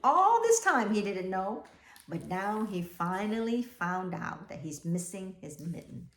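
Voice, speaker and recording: Animated woman reading aloud to children, echoey room. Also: medium pitch, unhurried. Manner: animated, exclaiming, prompting listeners with questions. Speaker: read-aloud storyteller for children. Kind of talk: expressive picture-book reading with asides to young listeners.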